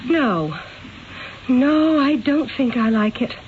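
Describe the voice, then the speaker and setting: High-pitched, hesitant young woman. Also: very animated, slow, exaggerated voice. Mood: uneasy, fearful. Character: uneasy young Renaissance Italian woman sitting for portrait. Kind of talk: radio drama acting. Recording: tinny, noisy old radio recording.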